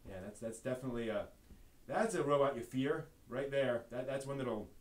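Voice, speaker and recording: A man's voice: mid-range voice, fairly quick, a little mellow. A man hosting a casual live show-and-tell stream, rambling and thinking aloud as he handles things. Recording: noisy live stream audio.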